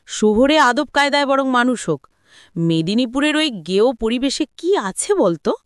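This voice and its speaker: A woman's voice: high voice, lively intonation, normal speed, dry recording, studio quality, pitched above the performer's own voice. A woman voicing a domineering, resentful middle-aged mother in an audio story, nagging and snobbish as she scolds. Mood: reproachful.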